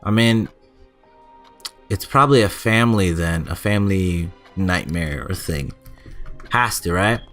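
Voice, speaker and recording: Male gaming host, lower-pitched voice, informal and chatty, music underneath. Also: animated, measured pace, pauses often, a bit bright. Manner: informal, chatty, stumbles when reading aloud. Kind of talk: casual let's play commentary, reading game text aloud.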